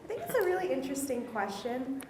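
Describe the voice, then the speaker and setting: A woman's voice: high-pitched, huge pitch swings, quick pace, noisy background. A young woman student in the audience, chatty and thinking aloud. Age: young adult. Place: lecture hall with audience. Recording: echoey hall.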